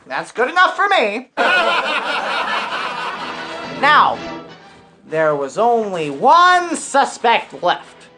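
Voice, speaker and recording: A woman playing a blunt, wry detective in a scripted radio parody, with a deadpan, theatrical delivery. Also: a little high, very slow, pauses often, faint music behind, heavily acted. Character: blunt, wry detective narrating a murder investigation.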